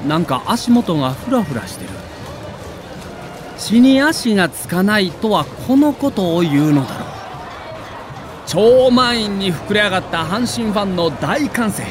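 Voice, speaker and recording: High-voiced man in dramatic narration, noisy backdrop. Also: sing-song, a little slow. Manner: theatrical, emotive, emphatic delivery. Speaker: narrator of a baseball audio documentary.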